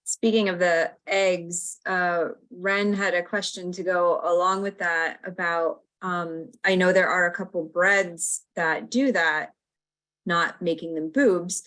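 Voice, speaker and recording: Woman moderator, lowish voice, brisk, reads questions over a video call. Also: fairly even intonation, a bit bright, rough recording. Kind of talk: moderating an online Q&A.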